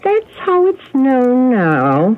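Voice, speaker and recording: An actress playing a genteel elderly spinster in a radio drama, hospitable yet haunted and bitter, with an emotional way of telling things. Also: female voice, high voice, huge pitch swings, very slow, exaggerated voice. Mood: wistful, bitter. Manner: gracious, emotional storytelling. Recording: tinny, noisy old radio broadcast.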